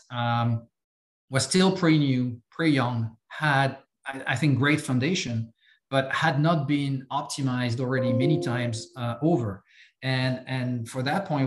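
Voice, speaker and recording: French-accented man in an echoey room. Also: mid-range voice. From France. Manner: halting, many repeated words and fillers. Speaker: company CEO guest. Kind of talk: podcast interview.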